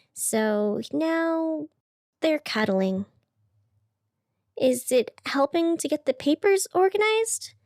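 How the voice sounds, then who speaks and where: Woman playing a high-voiced wistful radio host. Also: darkish tone, no room sound, clean studio sound, exaggerated voice. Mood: gentle, welcoming. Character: wistful, self-doubting young woman hosting an odd night radio show. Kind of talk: scripted audio drama radio monologue.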